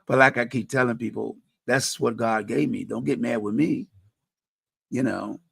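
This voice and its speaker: A middle-aged man with a deep voice, hosting a community radio talk show and speaking earnestly about faith and helping others, often restarting phrases. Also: steady tone, moderate pace, vocal fry, a bit bright, husky, no echo, clean studio sound. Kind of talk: reflective personal talk.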